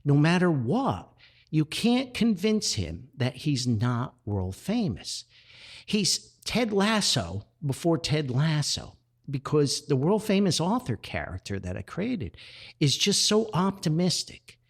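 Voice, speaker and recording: A man hosting a personal podcast, talking reflectively and earnestly with drawn-out emphasis. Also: fairly high pitch, huge pitch swings, husky. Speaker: podcast host.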